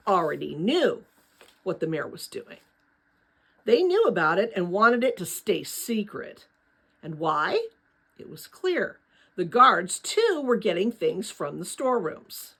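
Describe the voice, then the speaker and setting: A woman narrates a children's novel in an animated voice with a wide, lively pitch range. Her tone is husky rather than clean. She tells the story dramatically, with deliberate pauses. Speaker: audiobook-style reader. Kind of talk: expressive children's novel read-aloud.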